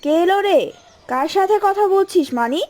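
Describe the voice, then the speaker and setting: A woman's voice: very high voice, lively intonation, normal speed, darkish tone. A woman voicing a bad-tempered village aunt and housewife in a cartoon, nagging with a sarcastic edge. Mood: suspicious. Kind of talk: animated cartoon voice acting.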